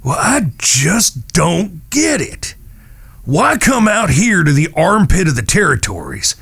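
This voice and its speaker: A man playing a shrewd, steady gunslinger in a western audio drama, folksy and measured. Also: sits high, creaky, slightly rough, no room sound, studio quality, full character voice. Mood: probing.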